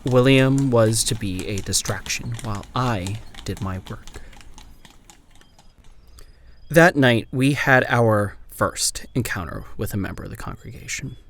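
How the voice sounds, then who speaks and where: A man playing an amnesiac old-time detective, narrating a grim first-person account in a horror audio drama in a measured, solemn way. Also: lowish voice, a bit bright. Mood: guarded. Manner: measured, solemn, literary delivery. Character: amnesiac former Pinkerton detective writing a grim final account.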